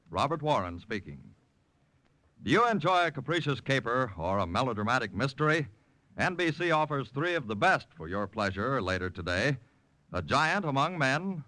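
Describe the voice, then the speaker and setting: Bright, animated American radio announcer man. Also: medium pitch, low-quality recording. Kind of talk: 1950s network radio announcing, scripted. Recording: old 1950s radio broadcast.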